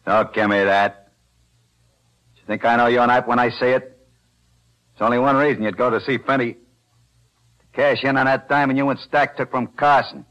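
An actor playing a threatening gangster with gruff tough-guy slang in a 1940s radio drama. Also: medium pitch, steady tone, moderate pace, pauses often, a bit bright, natural voice, rough recording. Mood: snarling. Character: threatening male gangster, gun-toting partner.

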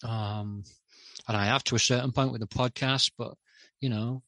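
A middle-aged man speaking candidly as a podcast guest, hesitant with many pauses and restarts. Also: medium pitch, fairly even intonation, fairly bright tone, no echo. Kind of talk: candid interview talk.

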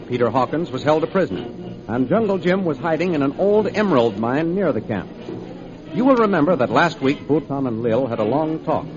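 Male 1930s radio serial announcer: formal, declamatory, higher-pitched, muffled vintage sound. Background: music.

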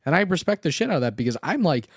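Fast, animated young man co-hosting. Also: a little high, breathy edge, dry recording, clean studio sound. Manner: rambling, swearing freely. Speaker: podcast co-host. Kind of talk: casual chat.

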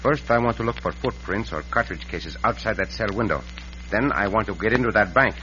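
An actor playing a calm, gallant Mexican adventurer in a radio western, with a courteous, commanding, deliberate delivery and a Mexican accent. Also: male voice, on the low side. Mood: resolute. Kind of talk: 1950s radio western acting. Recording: muffled, noisy, reverberant old radio recording.

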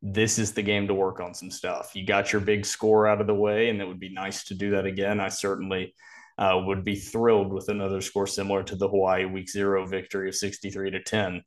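A man co-hosting a sports podcast, giving measured, detailed football analysis in a casual preview style. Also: deep voice, completely flat delivery.

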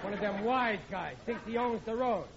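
A man's voice: sits high, moderate pace, creaky, a loud crowd. An actor playing a blustery, bumbling working-class husband and father who stammers and mangles his grammar in an old radio sitcom. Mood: blustering, alarmed. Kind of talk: 1940s-50s radio sitcom acting. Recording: dull old radio recording, noisy audience.

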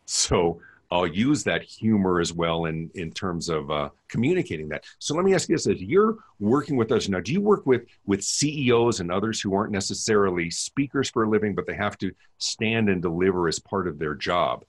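A middle-aged man with a deep voice, hosting a casual interview in a chatty, self-deprecating way. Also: animated, a little fast. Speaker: podcast host.

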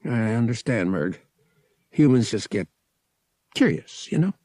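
A man's voice: medium pitch, animated, a little slow, mellow, no room sound. A man playing a wry, casual young space pilot in an audiobook, with slangy, slightly hesitant talk. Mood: nervous, placating.

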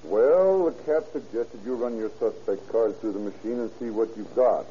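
An actor playing a plain-spoken, baffled police detective with casual, slangy delivery in old-time radio style. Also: male voice, medium pitch, normal speed, warm tone, close to an everyday voice. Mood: puzzled. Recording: muffled vintage radio with faint noise.

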